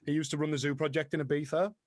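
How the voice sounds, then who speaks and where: Fast-talking Teesside man, dry close sound. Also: a little high, little pitch movement, no echo. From Middlesbrough, England. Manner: rapid, chatty and self-mocking, laughs often. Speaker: guest, house music producer. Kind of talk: casual interview chat.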